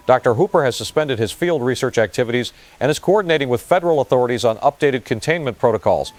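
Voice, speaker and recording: An actor playing a sober news reporter, reading a formal, factual report in an audio drama. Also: male voice, medium pitch, lively intonation, fast talker, lightly acted. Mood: sober, urgent.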